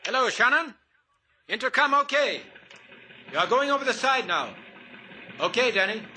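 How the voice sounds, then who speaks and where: An actor playing an earnest Portuguese sea diver with careful, clipped, formal phrasing, in a 1950s radio drama. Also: male voice, very high voice, very animated, normal speed, background noise. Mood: careful, steady. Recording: vintage radio recording with music.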